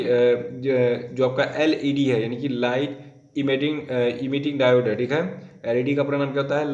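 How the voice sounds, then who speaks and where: A hoarse man teaching an exam-prep current affairs lesson, going step by step and repeating names and dates for students to memorize. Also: mid-range voice, roomy sound, muffled recording.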